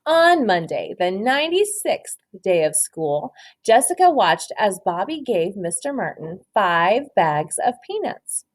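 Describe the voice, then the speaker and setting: A woman reading a children's story aloud expressively, pausing to ask simple questions and encourage answers. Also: mid-range voice, big pitch swings, a little hiss or hum.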